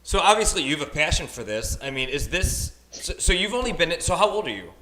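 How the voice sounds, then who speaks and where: A man's voice: a little high, big pitch swings, brisk, bright, forceful tone, low-quality recording. A man in his thirties hosting a podcast, chatty and encouraging in a casual interview. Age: 30s. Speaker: podcast host.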